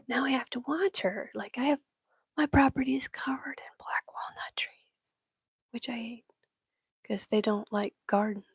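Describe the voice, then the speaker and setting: A woman from Michigan whispering in casual chat with her livestream viewers, breathy and laughing often. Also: fairly high pitch, no echo, clean studio sound. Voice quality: breathy, gravelly. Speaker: livestream host.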